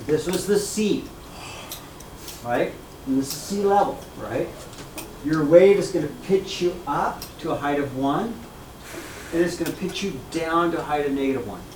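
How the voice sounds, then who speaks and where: A man lecturing a classroom in a fairly high voice, bouncing between loud emphasis and quiet asides. He repeats each key point and keeps checking whether the students follow. Faint room noise sits under him. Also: moderate pace, long pauses, poor audio. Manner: energetic, repeats key points, asks "okay?" and "right?" often. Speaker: math teacher.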